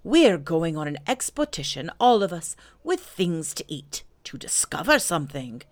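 A woman's voice: low-pitched, very animated, moderate pace, no echo. A woman voicing a simple, good-natured, eager male toy bear in a children's storybook, naive and muddled. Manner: naive, muddled, hesitant over long words.

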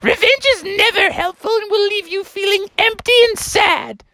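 High-pitched, fussy goose schoolteacher. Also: female voice, normal speed, sharp tone, no room sound, full character voice. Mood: bossy, impatient. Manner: emphatic, dismissive, theatrical. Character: fussy, bossy female goose substitute teacher who loves nursery rhymes.

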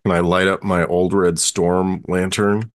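A man's voice: deep voice, little pitch movement, unhurried, dry recording, clean studio sound. A man chatting casually as a player in a tabletop roleplaying game.

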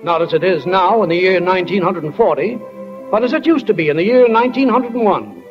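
Muffled vintage radio sound with music: a folksy, high-pitched small-town storyteller. He talks in plain, wry country phrases, guiding listeners as an old radio drama narrator. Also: expressive, fast. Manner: plainspoken, drawling folksy wording. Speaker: stage manager narrator.

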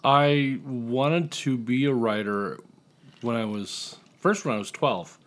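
A man's voice: mid-range voice, takes it slowly. A middle-aged man with an American accent, talking casually as a guest columnist in an interview. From Indiana, United States.

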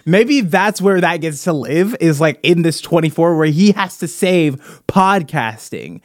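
A man hosting a podcast, brainstorming casually in an excitable, rambling way. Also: on the high side, measured pace, dry recording, clean studio sound. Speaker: podcast host.

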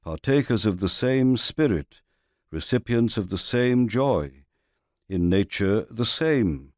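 A man with a fairly low voice reads a sermon very slowly, in a close, dry recording. His delivery is formal and measured, setting out scripture phrase by phrase. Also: lively intonation, darkish tone, no echo. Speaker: reader of a historical sermon. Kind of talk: scripted sermon reading, expository.

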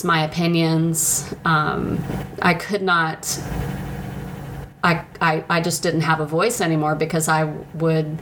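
Slow, halting woman sharing her story. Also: deep voice. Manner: slow, halting, with many pauses and restarts. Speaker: speaker sharing a personal testimony. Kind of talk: reflective personal testimony. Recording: noticeable background noise.

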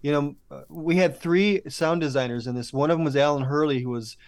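A man's voice: fairly high pitch, fairly even intonation, brisk, darkish tone, dry recording. A man speaking as a podcast guest in casual, earnest, appreciative conversation. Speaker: podcast guest, audio drama producer. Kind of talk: casual interview chat.

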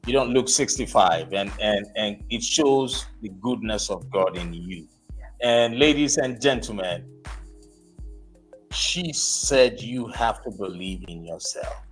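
A man hosting a live podcast interview, urging his listeners in an emphatic, exhorting way and spelling out names slowly. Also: fairly low pitch, expressive, soft background music, low-quality recording. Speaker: podcast host. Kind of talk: motivational interview show.

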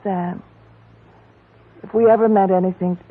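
A glamorous, pleading woman who coaxes in a husky, breathy voice. Her delivery is melodramatic, in 1940s radio style. The broadcast sounds thin and scratchy, like a tinny phone line. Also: mid-range voice, steady tone, unhurried. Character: glamorous woman, the detective's old flame, pleading.

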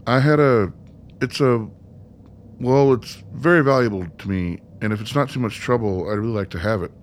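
An actor playing an awkward, uneasy newcomer in a radio play, speaking casually in a halting, pleading way. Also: male voice, medium pitch, slight background noise, close to an everyday voice. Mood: embarrassed, evasive. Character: awkward, uneasy newcomer man confined in a facility. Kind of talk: naturalistic radio play acting.

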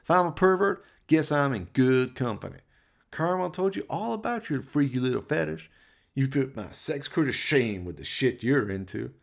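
A man's voice: mid-range voice, big pitch swings, normal speed, vocal fry, voice pushed up. A man voicing a smug, sleazy gang leader with cocky, mocking taunts in a comic radio play. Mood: taunting.

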